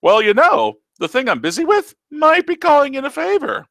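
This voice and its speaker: Teasing ageless trickster, played by a man in a raised, animated voice, bright and gravelly. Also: very high voice, normal speed, dry recording, studio quality, voice pushed up, exaggerated voice. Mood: scheming. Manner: breezy and teasing. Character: ancient, cocky immortal man, teasing.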